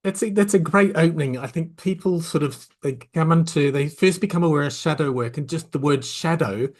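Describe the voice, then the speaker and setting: A man hosting a casual podcast interview. His voice sits a little high for a man, and he talks in a relaxed way, sometimes restarting his sentences. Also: a little fast. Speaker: podcast host.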